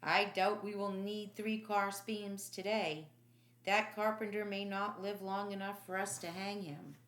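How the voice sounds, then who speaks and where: A woman's voice: mid-range voice, steady tone, a little slow, fairly bright tone, roomy sound, close to an everyday voice. A woman playing a stern, commanding male captain of the Roman guard in an audiobook. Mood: dismissive.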